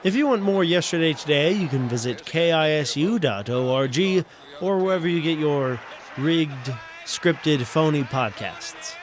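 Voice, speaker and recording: A man's voice: fairly high pitch, expressive, measured pace. A man co-hosting a show with a dry, teasing manner. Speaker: show co-host. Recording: faint background noise.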